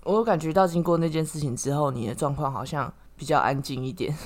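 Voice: medium pitch, quick pace. Explanatory podcast host with a mid-range voice. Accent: Taiwanese Mandarin. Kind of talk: casual chat.

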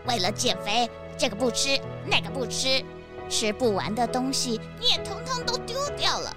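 A woman's voice: on the high side, sing-song, moderate pace, over loud music. A woman voicing a motherly, fussy hen granny in a children's audio drama, dramatic and doting in manner. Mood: scolding. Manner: dramatic, doting, with clucking exclamations.

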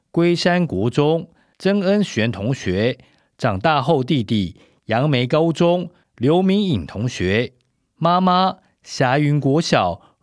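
A male announcer reads a list very slowly, with animated intonation. He has a Taiwanese Mandarin accent, and the recording is dry and close. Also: medium pitch, no room sound. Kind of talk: scripted cast-list reading.

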